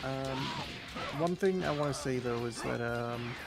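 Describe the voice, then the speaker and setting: A man with a slightly nasal voice and a gravelly edge talks loosely through a stream chat, restarting and trailing off mid-thought. He is on a new microphone that has not been set up. Music plays clearly behind him. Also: mid-range voice, measured pace. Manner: rambling, many restarts and trailing sentences. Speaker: co-host of a gaming stream. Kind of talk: casual gaming chat. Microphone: new microphone not yet set up. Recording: background music clearly heard.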